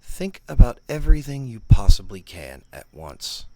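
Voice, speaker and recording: A man narrating a scripted parody guided meditation, giving instructions in a deadpan, condescending manner. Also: medium pitch, normal speed.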